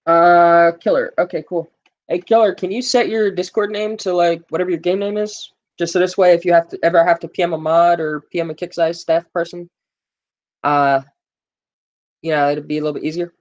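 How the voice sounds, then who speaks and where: A man's voice: a little high, steady tone, a little fast, lo-fi sound. A man hosting a casual gaming live stream, rambling with plenty of fillers and joking with his viewers. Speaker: gaming livestream host.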